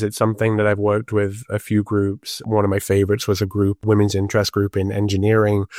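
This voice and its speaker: A man's voice: on the low side, darkish tone, no room sound, studio quality. A man with a Liverpool accent, a planner and improviser, talking animatedly and self-deprecatingly in an interview. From Liverpool, England. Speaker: guest, urban planner and improv comedian. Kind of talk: conversational podcast interview.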